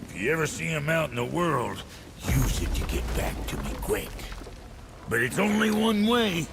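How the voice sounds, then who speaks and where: An actor playing a brash, cantankerous dwarf shopkeeper in a video game, gravelly and boastful with folksy delivery. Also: male voice, fairly high pitch, big pitch swings, moderate pace, background noise. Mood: boastful, instructing. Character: brash, foul-mouthed male dwarf blacksmith shopkeeper. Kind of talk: comic video game character acting.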